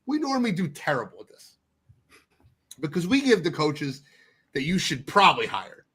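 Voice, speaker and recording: A man's voice: fairly high pitch, sing-song, normal speed, a bit bright, husky. A man co-hosting a casual sports podcast, blunt and teasing, who sounds congested from a sinus infection. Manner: blunt, swears, ribs the others. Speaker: podcast co-host.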